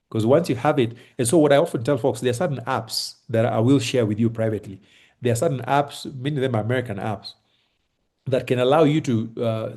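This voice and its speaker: A Kenyan man with a Kenyan accent giving relaxed, reassuring advice on a video call, with lots of fillers and restarts. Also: medium pitch. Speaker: host advising on moving to Kenya. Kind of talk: casual advice chat on a call. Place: home in Kenya.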